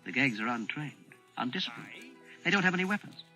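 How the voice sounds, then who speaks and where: A sardonic grown man weighs every word in a dry, measured voice, played by a man narrating. The sound is bright and sharp, over faint music. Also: medium pitch, very animated, poor audio. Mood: wary.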